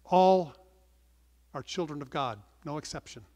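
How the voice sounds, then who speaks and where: Man, middle-aged, pastor, earnest measured sermon, soft falling phrase ends, reverberant church. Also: fairly high pitch, muffled recording. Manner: earnest, measured preaching with rising emphasis and soft trailing endings. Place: large wood-panelled church, indoors. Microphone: pulpit microphone.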